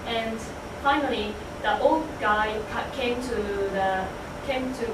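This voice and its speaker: A young woman speaking in a rehearsed classroom role play, haltingly and repeating phrases. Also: fairly high pitch. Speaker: student presenter in role play. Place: indoor room, recorded at a distance. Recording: distant mic, echoey room, loud noise.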